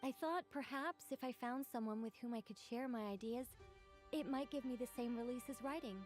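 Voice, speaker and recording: A composed, playful woman author , played by an actress, talks in scripted video game dialogue. Her voice sits high, and she speaks articulately with a light teasing wit. Soft music plays underneath. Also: a little fast, lightly acted. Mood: wry, reflective.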